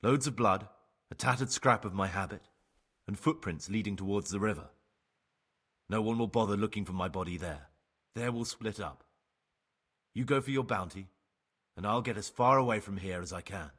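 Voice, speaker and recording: An actor playing a remorseful ex-bandit turned novice monk in a game cutscene, husky, measured and persuasive. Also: male voice, lowish voice, fairly bright tone. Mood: persuasive, pragmatic. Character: remorseful young ex-bandit novice monk, sly pragmatic bargainer. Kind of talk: dramatic game cutscene acting.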